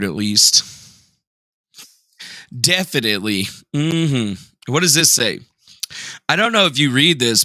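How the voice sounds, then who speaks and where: A man's voice: medium pitch, pushed and bright, dry recording, studio quality. A young man casually hosting a livestream, joking sarcastically and reading viewer messages aloud. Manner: jokey, sarcastic, self-mocking; reads chat aloud and reacts. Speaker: livestream host.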